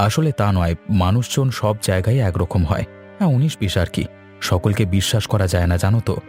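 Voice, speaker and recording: An actor playing a calm, level-headed village husband in a cartoon, reassuring and measured. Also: male voice, fairly low pitch, faint music behind, close to an everyday voice. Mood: disappointed.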